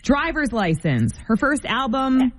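A woman's voice: high-pitched, very animated. A woman co-hosting a morning radio show, chatting, teasing and reading traffic reports. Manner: chatty, quick to tease.

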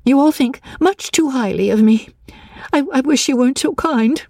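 A woman voicing an excitable, desperate young Englishwoman in an audiobook, gushing breathlessly and stammering over repeated words. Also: fairly high pitch, measured pace, slightly rough, dry recording, pitched above the performer's own voice, exaggerated voice. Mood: agitated, desperate. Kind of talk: audiobook character dialogue.

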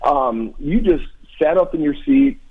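A man's voice: a little high, lively intonation, unhurried, narrow, tinny audio, a little hiss or hum. An American man, a former college football player, talking earnestly and emphatically as a guest in a conversational sports radio discussion.

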